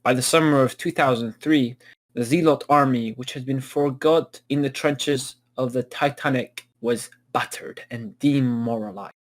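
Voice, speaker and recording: A man's voice: medium pitch, normal speed. A man in an amateur table read, both reading narration and chatting casually. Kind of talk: casual chat and scripted narration.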